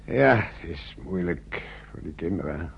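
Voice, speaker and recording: Gravelly, cautious older French countryman. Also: sits low, expressive, moderate pace, heavily acted. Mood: musing. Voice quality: gravelly, husky. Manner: hesitant, repeating himself, muttering short phrases. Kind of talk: radio play dialogue. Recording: muffled old radio drama recording.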